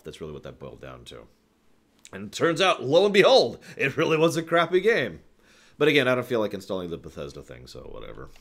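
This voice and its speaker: A man hosting a live stream, chatting casually with viewers in an emphatic, opinionated way full of conversational asides. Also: deep voice. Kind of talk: casual livestream talk to chat, answering viewer questions. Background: background music.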